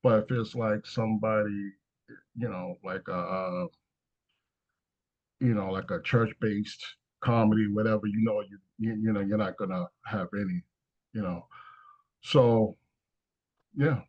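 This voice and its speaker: A man's voice: fairly low pitch, steady tone, a little slow, long pauses, highs cut off. A man answering questions casually as a screenwriter, talking in a hesitant, rambling way. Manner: hesitant, rambling, frequent "you know". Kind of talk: casual Q&A talk after a script read.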